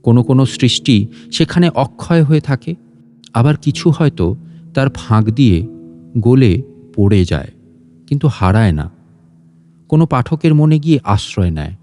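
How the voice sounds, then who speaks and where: A man's voice: medium pitch, expressive, normal speed, pauses often, dark tone. A man reads a literary story as a scripted audio narration. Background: guitar and instrumental music.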